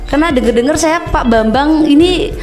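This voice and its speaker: A woman's voice: high-pitched, normal speed. A young woman from Tegal hosting a podcast, agreeable and playful, often affirming her guest. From Tegal, Central Java. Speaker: podcast host. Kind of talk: casual podcast interview. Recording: echoey room with faint music.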